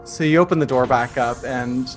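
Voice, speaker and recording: A man acts as game master in a tabletop session. His voice sits at an average pitch, and he tells the scene in a loose, halting, conversational style. Faint music plays behind him. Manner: casual, halting, conversational delivery. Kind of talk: improvised tabletop game narration. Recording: music under the voice.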